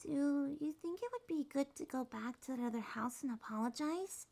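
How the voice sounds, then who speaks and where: Woman voicing a soft, high-pitched shy succubus girl. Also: moderate pace, creaky. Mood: sheepish, soft. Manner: hesitant, gentle, slightly stammering. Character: shy, sweet young female succubus monster girl. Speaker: ASMR roleplay performer. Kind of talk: ASMR roleplay, soft and intimate.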